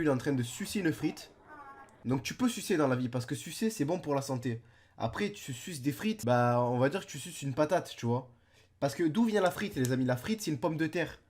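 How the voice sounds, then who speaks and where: Young male commentator, congested, goofy slang ramble, slightly high voice. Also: darkish tone. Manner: rambling, playful slangy absurd jokes. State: stuffy nose from a cold. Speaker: video commentator. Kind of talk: comic reaction commentary.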